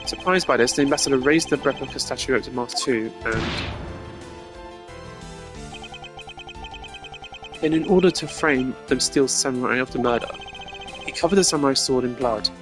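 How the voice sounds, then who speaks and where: Game prosecutor: performer as composed man, mid-pitched, formal, dry, precise, music behind. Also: quick pace, a bit bright, lightly acted. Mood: accusing.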